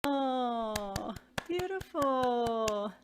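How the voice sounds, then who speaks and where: Brief: woman workshop leader, high and animated voice, effusive, laughs often, on a video call. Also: very slow, slight background noise. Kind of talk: encouraging workshop facilitation.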